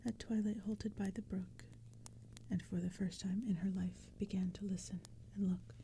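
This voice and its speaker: A woman reading poetry as ASMR narration in a soft, breathy, hushed whisper, gentle and measured. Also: mid-range voice, little pitch movement. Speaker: narrator and reader. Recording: background crackling fire and forest ambience. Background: crackling fire and forest sounds.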